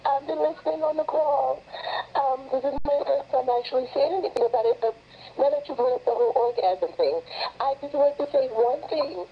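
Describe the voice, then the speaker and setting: A hoarse woman who is sick, calling in and chatting casually with halting, repeated starts. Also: high-pitched. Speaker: caller. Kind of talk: casual chat on a conference call. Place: calling in from New York. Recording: dull phone line with faint hiss.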